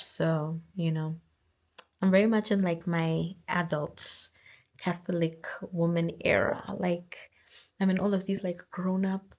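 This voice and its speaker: Zimbabwean woman with a tired, strained low voice. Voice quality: naturally deep alto voice. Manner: chatty, rambling, lots of fillers and restarts. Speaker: vlogger. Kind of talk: casual chat to camera. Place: at home, indoors. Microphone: camera or phone on a stand.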